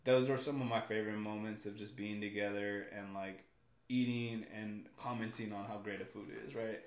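A man's voice: lowish voice, steady tone, moderate pace, echoey room. A man from California, a guest on a casual podcast, talking in a rambling way with lots of fillers and repeated words.

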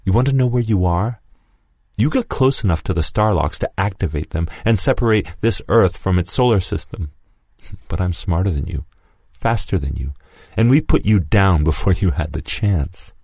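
A man voicing Lewis, an angry, vengeful former comrade who shouts accusations and whose voice cracks. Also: low voice, lively intonation, normal speed, husky, dry recording, studio quality, full character voice. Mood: snarling threatening.

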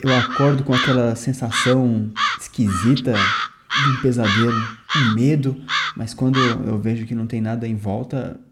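A man playing a tabletop game, calmly narrating his boy character's morning in the first person. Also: medium pitch, moderate pace. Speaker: tabletop player.

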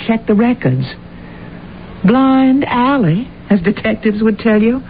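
A woman plays a nosy, devoted housekeeper in a vintage radio drama. She is brisk and proper, with a swooping delivery. The sound is tinny, with hiss and noise. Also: fairly low pitch, close to an everyday voice. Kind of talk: old-time radio drama acting. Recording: tinny, noisy old radio broadcast.

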